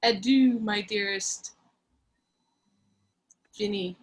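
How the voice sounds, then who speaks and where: A woman's voice: medium pitch, steady tone, extremely slow. A woman narrating and acting the lead in a dramatic reading over a video call, with theatrical, melodramatic delivery.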